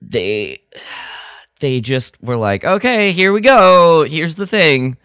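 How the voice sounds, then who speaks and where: A man's voice: on the high side, very animated, takes it slowly, a bit bright, clear, resonant tone, dry recording, clean studio sound. A man co-hosting a podcast in casual review chat, exasperated and critical, slipping into mock quotes as he goes. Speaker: podcast co-host.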